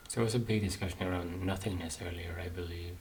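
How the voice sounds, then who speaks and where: A man's voice: low-pitched, fairly even intonation, fast, a little mellow, a little hiss or hum. A man doing casual let's play commentary, musing tentatively and thinking aloud as a gaming streamer. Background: game music.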